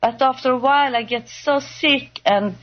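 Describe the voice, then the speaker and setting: A woman from Sweden with a Swedish accent, speaking English as a second language, telling her story as a radio guest, pausing often to search for words. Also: high-pitched, lo-fi sound. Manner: halting, searching for English words, many fillers. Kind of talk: personal testimony on a call-in show.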